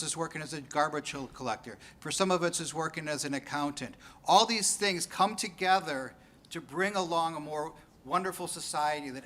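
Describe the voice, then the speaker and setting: A man's voice: fairly high pitch, pushed and bright. A man, an economist on a research panel, speaking in a self-deprecating way with light laughs. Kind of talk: conference panel talk.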